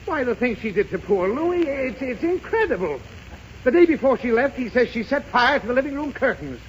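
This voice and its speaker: A man's voice: extremely high pitch, sing-song, a little fast. An actor playing an exasperated, short-tempered father trying to stay patient in a 1930s radio comedy. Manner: blustering, tightly restrained. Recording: dull, hissy 1930s radio broadcast.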